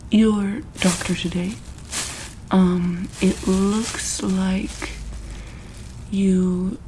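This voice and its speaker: A woman speaking softly in an ASMR medical roleplay as a calm, caring doctor, halting often with pauses and ums. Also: medium pitch, slight background noise, poor audio. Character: calm, caring female monster-hospital doctor.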